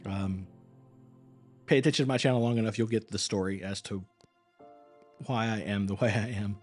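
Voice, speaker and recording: A man's voice: lowish voice, steady tone, moderate pace, darkish tone, soft background music. A man who works as an acting and performance coach, chatting casually with viewers on a live stream. He sounds tired, his voice is wearing thin, and he rambles earnestly, restarting his words as he goes.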